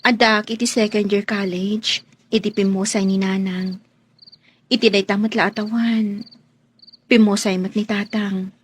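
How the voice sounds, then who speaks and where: A woman's voice: mid-range voice, level tone, a little slow, pauses often, slight background noise, close to an everyday voice. A woman playing a meek, polite young housemaid in a radio drama, speaking deferentially. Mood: humble, earnest.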